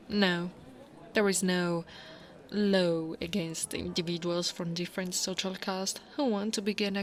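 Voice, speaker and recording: An Italian woman playing a tall, devoted female orc royal guard in an ASMR roleplay, speaking softly and intimately as if to the one listener she loves. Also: fairly low pitch. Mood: tender, teasing. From Italy. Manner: slow, intimate, often dropping to a whisper.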